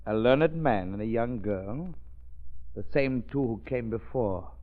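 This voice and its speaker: A man's voice: mid-range voice, animated, a little slow, natural voice. A man voices a secretive host of a hilltop mansion in a 1930s radio drama. He is curt and evasive, with a middling voice. The sound is tinny and scratchy, like an old radio broadcast. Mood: uneasy. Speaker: host of the house.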